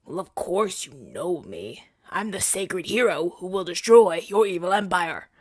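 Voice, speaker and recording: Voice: medium pitch, lively intonation, measured pace, dry recording. A woman playing a flustered, self-righteous hero in a scripted ASMR audio drama, with a theatrical, stammering delivery. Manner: stammering, indignant, dramatic delivery with breathy whispers.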